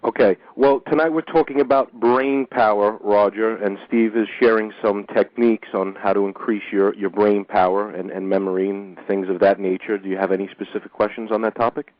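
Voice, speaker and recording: A male radio host with a lowish voice, talking in a steady, measured way and often doubling a word as he gets going. The sound is dry and dull, like an old lo-fi radio recording. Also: no echo. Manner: measured, repeats words when starting phrases. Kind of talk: call-in radio hosting.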